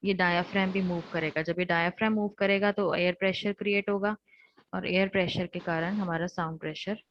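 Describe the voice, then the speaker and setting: Female Indian lecturer for online lesson: even, lowish voice, patient step-by-step explanation with repeats. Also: a little fast, a little hiss or hum. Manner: explanatory, repeats points in rephrased steps. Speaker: teacher. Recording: dull lo-fi online class audio.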